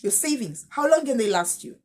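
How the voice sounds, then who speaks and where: A woman's voice: on the high side, expressive, fairly quick. A woman, a teacher giving direct money advice in a casual vlog, asking her viewers question after question. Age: 30s. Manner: direct, advising, piles up rhetorical questions. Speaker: teacher and finance vlogger.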